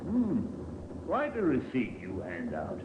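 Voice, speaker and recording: An actor playing a wry police inspector in a 1950s radio drama, speaking drily and deliberately in short phrases. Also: male voice, medium pitch, huge pitch swings. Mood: wry, intrigued. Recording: muffled, noisy, echoey old radio recording.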